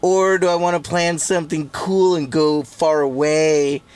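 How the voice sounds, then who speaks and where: A man's voice: on the high side, fairly even intonation, unhurried, darkish tone, smooth. A man in his forties from Southern California, chatting casually as a podcast guest and rambling through his stories. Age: 40s. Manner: laid-back, rambling storyteller with fillers. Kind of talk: casual chat.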